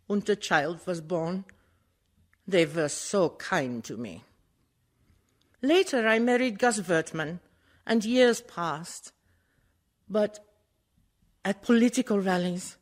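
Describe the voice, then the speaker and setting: Slow, sorrowful mother played by a woman. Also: medium pitch. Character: sorrowful mother remembering her secret son. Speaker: actor in a community theatre radio play. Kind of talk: dramatic verse monologue.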